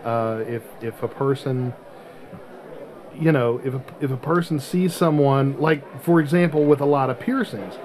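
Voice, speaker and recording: A middle-aged man hosting a podcast in casual chat, often halting as he searches for words. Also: mid-range voice, a little mellow, slight background noise, low-quality recording. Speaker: podcast host.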